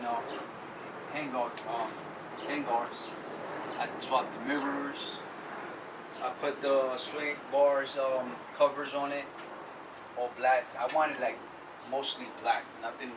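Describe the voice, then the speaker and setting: Chill guy from ecuador talking bikes, sounds far from the mic, loud noise outside. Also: mid-range voice, takes it slowly, pauses often. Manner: relaxed, says "bro" a lot, frequent restarts. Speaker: interviewee, vehicle owner. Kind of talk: casual conversational interview. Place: outdoors by vehicles.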